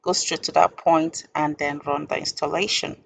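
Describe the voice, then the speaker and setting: A woman with a low, creaky voice walks through a software tutorial step by step. Her delivery is informal, halting and deliberate, over faint background noise. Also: little pitch movement, rough recording.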